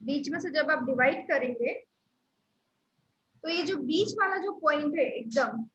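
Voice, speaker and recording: A woman's voice: high-pitched, a little slow, a bit bright, echoey room, lo-fi sound. A woman teaching a live online class on acupressure therapy, explaining steps patiently and repeating key points. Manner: patient, repetitive step-by-step instruction. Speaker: Sujok therapy instructor.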